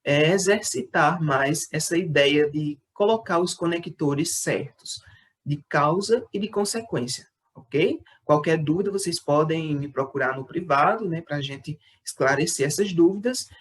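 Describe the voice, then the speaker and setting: A male school teacher giving a recorded lesson to sixth graders, explaining patiently, checking in often with the class and slowing down to read out his example sentences. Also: a little high.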